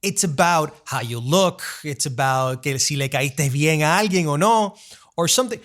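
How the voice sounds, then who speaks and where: A man's voice: on the high side, animated, normal speed, fairly bright tone. A man hosting an interview, asking long, curious questions in a friendly way. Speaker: interview host.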